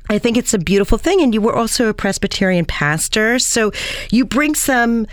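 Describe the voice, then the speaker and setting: A woman's voice: on the high side, expressive, normal speed, no echo. Woman radio host, middle-aged, rambling and enthusiastic, close dry studio mic. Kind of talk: talk radio interview.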